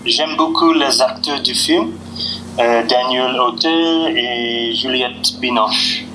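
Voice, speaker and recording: A man, a beginner student speaking deliberate, slightly halting French as a podcast guest. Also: medium pitch, noisy background. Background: background music.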